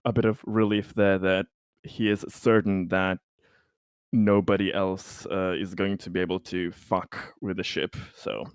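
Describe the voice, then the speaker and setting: A man's voice: low-pitched, little pitch movement, measured pace, smooth, no echo, studio quality. A man chatting casually as a player in a tabletop roleplaying game.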